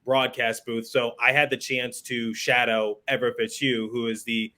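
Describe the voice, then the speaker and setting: A man's voice: medium pitch, level tone, moderate pace, bright, forceful tone. American man hosting a sports podcast. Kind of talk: conversational interview with a scripted ad read.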